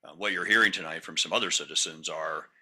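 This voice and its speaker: A man with a very deep voice and a sharp, bright tone addresses county commissioners. He speaks politely and steadily, with some fillers along the way. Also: fast, husky. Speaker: public commenter. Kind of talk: public comment at a county meeting.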